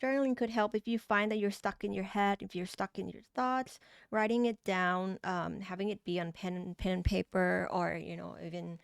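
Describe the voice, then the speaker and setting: Woman, Thai accent, tarot reading, gentle rambling delivery, clear tone, close dry sound. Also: medium pitch, steady tone, normal speed, dry recording. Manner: gentle, meandering, with frequent restarts and fillers. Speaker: tarot reader. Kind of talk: pick-a-card tarot reading.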